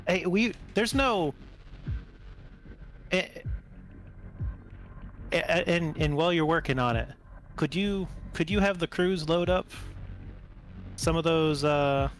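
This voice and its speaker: A man playing a wary, protective military pilot husband in a tabletop game, talking in a halting, plain-spoken way. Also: on the high side, expressive, faint music behind, voice pushed up. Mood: uneasy, firm. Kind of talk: tabletop role-play dialogue.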